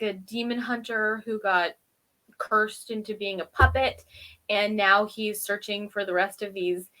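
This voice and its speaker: A woman's voice: medium pitch, bright, forceful tone, rough recording. A woman co-hosting a review show in casual, informal chat, giggly and given to exasperated asides. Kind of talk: casual chat, recapping and critiquing a TV episode.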